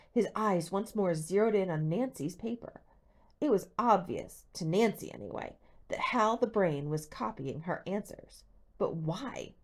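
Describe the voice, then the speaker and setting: A woman reading a teen mystery novel aloud as expressive scripted narration. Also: mid-range voice. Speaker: audiobook reader.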